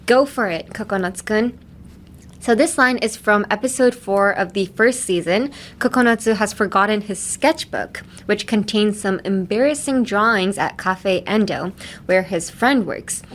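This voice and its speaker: A woman's voice: medium pitch, normal speed. A woman hosting a casual language-lesson podcast, talking in a chatty, rambling way with tangents. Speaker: podcast host teaching phrases.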